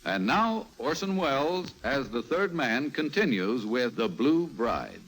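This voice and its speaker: A man's voice: medium pitch, big pitch swings, unhurried, low-quality recording. A man announcing a 1950s radio programme in a formal, scripted style. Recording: loud music behind vintage broadcast.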